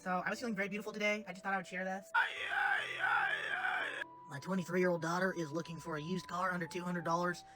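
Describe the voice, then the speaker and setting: Rushed, high-pitched shouting man over music. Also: level tone. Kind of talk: comic short video monologue. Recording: played video with music, quieter playback.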